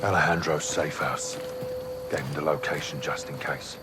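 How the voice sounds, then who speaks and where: An actor playing a terse, calm special forces lieutenant who gives commands. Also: male voice, a bass voice, normal speed, heavy background noise. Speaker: squad lieutenant. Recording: music score behind.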